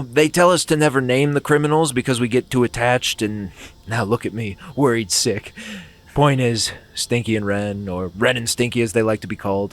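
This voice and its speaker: A man's voice: mid-range voice, natural voice. A man playing a bumbling hammy police commissioner. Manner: hammy, rambling, breaks into laughs. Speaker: podcast co-host. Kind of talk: comedic script reading.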